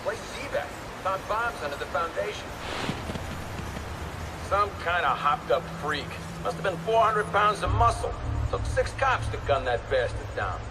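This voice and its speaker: Game cop: high-pitched, gruff, clipped, heard distantly over police radio. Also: male voice, animated, normal speed, very noisy. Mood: businesslike, rattled. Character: gruff, rattled city cop on dispatch radio. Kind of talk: dramatic video game cutscene acting.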